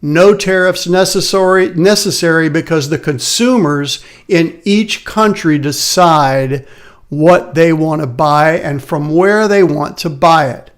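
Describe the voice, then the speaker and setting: Tired, slightly raspy man. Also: a little high, slow. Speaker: financial advisor hosting a live market update. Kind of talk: casual live market commentary. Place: his office.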